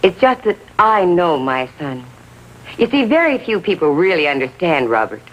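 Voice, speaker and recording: Genteel middle-aged American mother, trusting and defensive. Slightly rough voice, lively melodic delivery, vintage television drama. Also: fairly low pitch, moderate pace, highs cut off. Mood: politely defensive. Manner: earnest, insistent, politely defensive. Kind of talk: 1950s TV drama acting.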